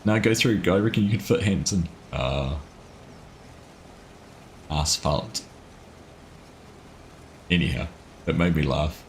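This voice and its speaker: A man's voice: sits low, expressive, takes it slowly, pauses often, a little hiss or hum. A young man with a New Zealand accent, chatting casually and reading chat aloud while livestreaming a farming game. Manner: chatty, laughs at himself, reads chat aloud. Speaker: livestream gaming host. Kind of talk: casual live gameplay chat.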